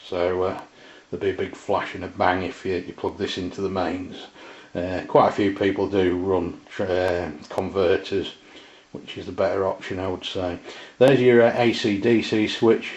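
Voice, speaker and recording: Deep-voiced British man, halting delivery. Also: level tone. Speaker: radio hobbyist presenter. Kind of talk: casual product walkthrough. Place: indoors in a domestic kitchen. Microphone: camera mic, behind the camera. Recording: roomy, dull camera sound.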